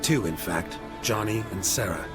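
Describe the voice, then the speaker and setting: Male actor as stoic weathered hunter, deep, clipped, deadpan, video game, heavy music behind. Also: moderate pace, close to an everyday voice. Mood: calm, firm. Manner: terse, deadpan. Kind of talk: video game cutscene acting. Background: loud music.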